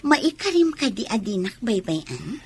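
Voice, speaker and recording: A woman's voice: fairly high pitch, big pitch swings, unhurried, darkish tone, husky, soft background music, heavily acted. An actress with a Filipino accent playing a lovestruck, stubborn young woman in a radio drama. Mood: coy, doubting. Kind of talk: Ilocano radio drama acting.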